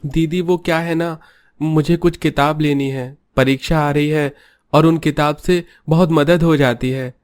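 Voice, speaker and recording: A man's voice: fairly high pitch, steady tone, measured pace, clean tone, dry recording, studio quality, natural voice. A man voicing a polite schoolboy younger brother who asks his sister for money in a cartoon story. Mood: pleading.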